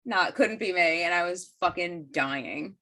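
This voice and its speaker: Bright-voiced blunt woman. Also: on the low side. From United States. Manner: blunt, swears, quick reactions. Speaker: podcast co-host. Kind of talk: casual chat.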